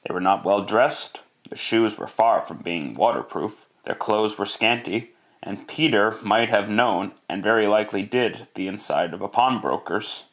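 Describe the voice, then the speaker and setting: Casting: male narrator for an old-style radio Christmas tale, lowish voice, storybook delivery. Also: a little slow, few pauses, fairly bright tone. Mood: measured storytelling.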